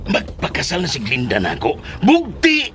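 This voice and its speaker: A Filipino actor playing a bossy, hot-tempered schemer in a radio drama, with a rough, bullying, theatrical delivery. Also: male voice, a little high, huge pitch swings, normal speed, fairly bright tone, slight background noise. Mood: scheming. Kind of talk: Philippine radio drama acting.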